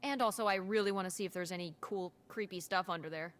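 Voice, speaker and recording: A woman voicing a curious, teasing teenage daughter in a naturalistic, expressive video game performance. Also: lowish voice, fast talker, slight background noise, close to an everyday voice. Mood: eager. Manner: playful, cheeky, expressive.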